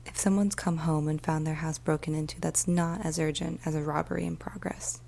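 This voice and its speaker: A woman voicing a weary, troubled police emergency dispatcher in a soft, often whispered ASMR storytelling monologue, speaking slowly and deliberately. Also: deep voice, level tone, gravelly. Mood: subdued, weary. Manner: slow, hushed, deliberate, with pauses. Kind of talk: ASMR storytelling, first-person dramatic monologue.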